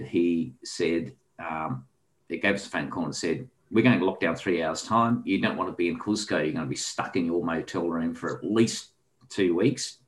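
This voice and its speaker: Deep-voiced Australian man, rambling storyteller. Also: low-quality recording. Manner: rambling with frequent ums and restarts. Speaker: guest. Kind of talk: casual storytelling chat.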